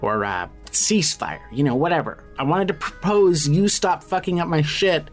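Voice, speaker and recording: A man playing a scrappy, foul-mouthed, evasive character on the run in audio fiction, talking casually and haltingly. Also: fairly high pitch, lively intonation. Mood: tense, defiant. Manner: casual, sweary, halting when pressed. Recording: music under the voice. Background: music.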